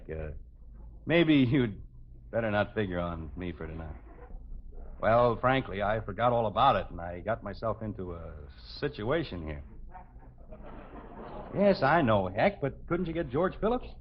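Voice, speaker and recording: A man's voice: medium pitch, a little hiss or hum. An American actor playing an exasperated, sarcastic, thrifty middle-aged father in a 1950s radio comedy. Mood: sheepish, hesitant. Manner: blustering, sarcastic, scolding. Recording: dull vintage 1950s radio broadcast.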